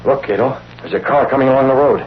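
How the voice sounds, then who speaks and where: A man's voice: mid-range voice, fast talker, lightly acted. An actor playing a decisive, commanding, well-spoken male vigilante hero in an old-time radio adventure. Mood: decisive, urgent. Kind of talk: 1930s-40s radio adventure acting. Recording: muffled, noisy old radio recording.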